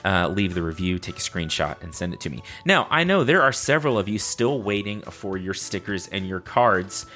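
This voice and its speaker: A man's voice: low-pitched, expressive, normal speed, hardly any pauses, bright, forceful tone, soft background music. A man hosting a casual solo podcast, chatting informally and playfully. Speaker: podcast host.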